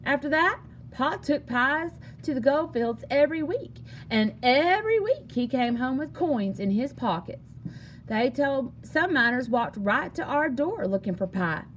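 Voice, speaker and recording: A woman reading a children's story aloud in an animated, expressive way with emphatic delivery. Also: high-pitched, a little slow, a little hiss or hum. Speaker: storybook reader.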